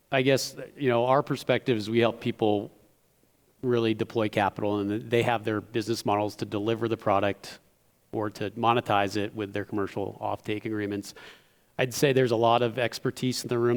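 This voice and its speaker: A man giving steady advice on a conference panel, with some hedging fillers, in a reverberant hall. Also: fairly low pitch, vocal fry. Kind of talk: unscripted panel discussion.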